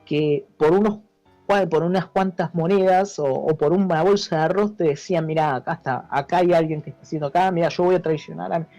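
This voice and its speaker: A man's voice: on the high side, steady tone, a little fast, mellow. An Argentine man speaking as an interview guest, explaining things informally like a teacher and checking understanding with short tag questions. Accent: Argentine Spanish. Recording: dull lo-fi audio with music behind. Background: music.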